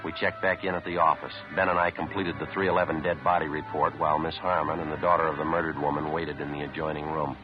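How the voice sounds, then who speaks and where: A very deep-voiced American police detective tells his case in clipped, deadpan sentences. He is terse and matter-of-fact. The sound is a dull 1950s radio recording with music in places. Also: quick pace, hardly any pauses. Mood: somber, clipped. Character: terse, steady male police detective sergeant. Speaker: lead actor narrating and playing a detective.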